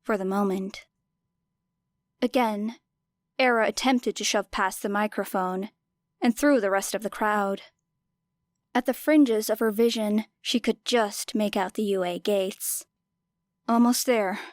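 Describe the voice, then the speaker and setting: A woman's voice: mid-range voice, measured pace, long pauses, no room sound. A woman reading scripted fiction as an audiobook narrator, with dramatic delivery.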